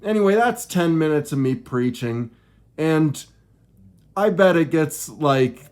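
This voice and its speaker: A man with a high-pitched voice that swings widely as he speaks. He talks slowly and haltingly, doubling back on phrases in an informal, preachy monologue of personal opinion. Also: lively intonation, darkish tone. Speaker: video creator.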